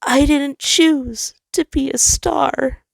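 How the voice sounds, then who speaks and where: A woman's voice: high voice, fairly even intonation, slow pace, creaky, dry recording, voice pushed up, exaggerated voice. A woman voicing a heartbroken, frightened male star in human form, sobbing and crying out in a strained voice for a story reading. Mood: grieving.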